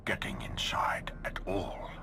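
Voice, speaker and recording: An actor playing a grim, ominous warrior in a science fiction game cutscene. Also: male voice, takes it slowly, whispered, close to an everyday voice. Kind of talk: video game cutscene acting.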